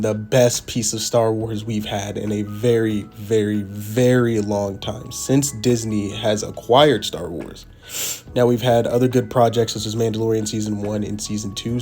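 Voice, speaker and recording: A man with a hoarse voice from being sick, giving heated opinion commentary over a video, repeating himself for emphasis. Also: medium pitch, a little mellow. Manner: pleading, repetitive emphasis.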